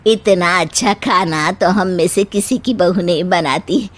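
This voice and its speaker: A woman's voice: lowish voice, a little slow. A woman playing an outspoken, gossipy older woman in a cartoon story, speaking in a pointed, emphatic way. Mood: impressed. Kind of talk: cartoon moral story character acting.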